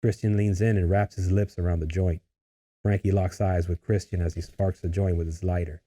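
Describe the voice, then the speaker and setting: A man's voice: low voice, level tone, a little fast, dark tone. A man narrating a screenplay, reading out scene headings and stage directions as scripted narration.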